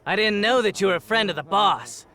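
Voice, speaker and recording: A man's voice: high-pitched, very animated, fairly quick, pushed and bright, exaggerated voice. A man voicing a cocky, theatrical gang thug in a video game. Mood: fawning. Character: cocky rat-gang thug, fawning toward his betters. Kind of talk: video game cutscene acting.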